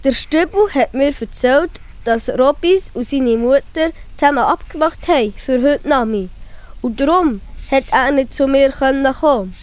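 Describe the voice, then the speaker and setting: A woman's voice: high-pitched, normal speed, darkish tone, natural voice. A woman voicing a young schoolboy, honest and eager, with a Swiss German accent, in a children's audio play. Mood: relieved, eager.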